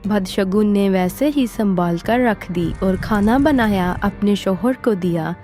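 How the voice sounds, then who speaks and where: Female story narrator: unhurried scripted delivery, warm dark tone, light music bed. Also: mid-range voice. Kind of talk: scripted storytelling narration of a Hindi moral tale. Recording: faint music behind the voice.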